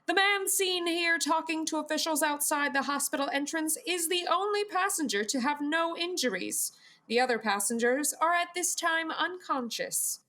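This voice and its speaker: An actress playing a female TV news anchor, reading in a measured newsreader delivery. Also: high-pitched, pushed and bright. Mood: formal. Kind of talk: mock news broadcast in a radio play.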